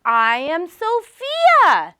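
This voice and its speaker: Female primary teacher for a read-aloud lesson. Very high, lively, bright voice, slow and emphatic, questioning young pupils. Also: no room sound, studio quality. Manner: animated, simple questions with emphatic repetition for small children.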